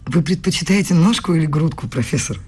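An actress playing a clever young student in a radio play, answering with coy, formal teasing and quiet boldness. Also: female voice, low-pitched, expressive, fast, slightly rough, exaggerated voice. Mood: coy, playful. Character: young female student, clever, coy, bold in secret love. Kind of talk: intimate radio play acting.

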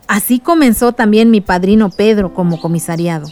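A woman's voice: mid-range voice, brisk, warm tone, lightly acted. A woman playing an affectionate, reflective village aunt reminiscing fondly in a radio drama. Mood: fond, nostalgic. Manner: reminiscing, fond. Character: affectionate, reflective village aunt telling her niece about the past.

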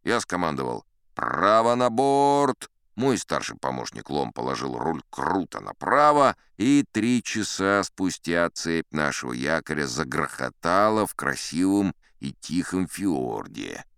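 A man's voice: extremely low pitch, very animated, a little slow, vocal fry, hardly any pauses, a bit bright, clear, resonant tone, no room sound, clean studio sound, full character voice. An actor playing a boastful old sea captain who spins yarns in a pompous, chatty, theatrical storytelling manner, savouring his words. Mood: vivid storytelling. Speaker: audiobook actor narrating in character. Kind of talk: theatrical storytelling in an audio play.

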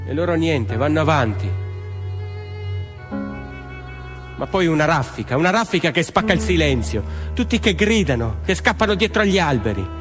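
A man's voice: a little high, sing-song, brisk. A man playing a 1940s Italian partisan peasant in a theatrical monologue, speaking in short, dramatic, emphatic phrases. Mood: grave, urgent. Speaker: stage actor and storyteller. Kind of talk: theatrical narrative monologue with music. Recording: long hall reverb, music underneath.

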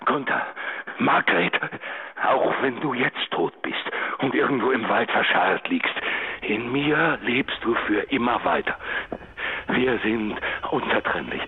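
A man acting in a German radio drama as an armed, jealous, grieving husband, theatrical, moving between soft mockery and threats. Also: mid-range voice, animated, slow pace, husky, no echo, phone-quality sound, exaggerated voice. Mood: bitter resignation.

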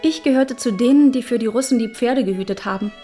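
An actress as a frank, high-voiced young woman. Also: fast, dark tone, faint music behind, lightly acted. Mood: frank, defiant. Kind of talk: German radio play monologue and narration.

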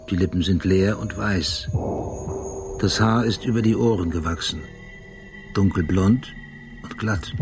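Radio drama lead: obsessive detective, brooding and exact, deep-set gravelly voice, terse measured delivery, music underneath. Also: male voice. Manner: measured, deliberate, terse phrasing. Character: obsessive male police detective, precise brooding narrator haunted by memory.

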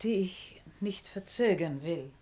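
A woman's voice: on the low side, lively intonation, slow. An actress playing a watchful, commanding middle-aged noblewoman and mother, formal and insistent, in a classical German radio play. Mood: uneasy. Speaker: actor.

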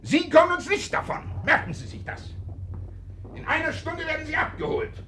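An actor playing a vindictive, blustering local Nazi farmers' leader in a 1950s German radio play, accusing and barking orders. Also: male voice, very high voice, wildly swooping pitch, normal speed, sharp tone, slight background noise, full character voice. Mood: threatening.

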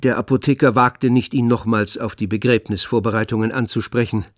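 A man serves as narrator of a literary radio drama. He speaks at a middle pitch, in a measured and grave manner. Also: steady tone, no room sound, clean studio sound.

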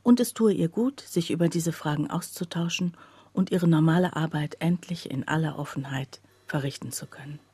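An earnest working woman telling her story in a literary radio play. Her voice is lowish and very husky, recorded dry and close. She narrates as one of a group of workers. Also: normal speed, warm tone, no room sound. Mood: narrating.

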